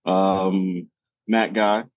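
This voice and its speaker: A man answering questions as a podcast guest in casual chat, speaking in halting, hesitant phrases. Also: sits low, fairly even intonation, dull audio. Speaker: podcast guest, competitive cornhole player.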